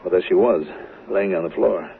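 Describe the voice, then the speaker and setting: A muffled old radio broadcast with faint noise. a low-voiced husband suspected of murder in a 1970s soap. He is halting, evasive and hesitant. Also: creaky. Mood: halting, subdued. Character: evasive working-class husband suspected of murdering his wife.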